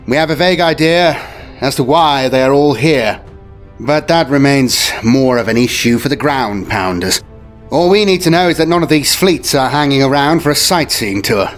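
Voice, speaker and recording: A man's voice: mid-range voice, pitched above the performer's own voice, close to an everyday voice. A man voicing a commanding upper-class space navy admiral, formal and theatrical with genteel old-fashioned slang, in an audio story over music. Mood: grave, wry. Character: upper-class naval Lord Admiral briefing officers, commanding and jaunty. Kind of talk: dramatised audio story briefing.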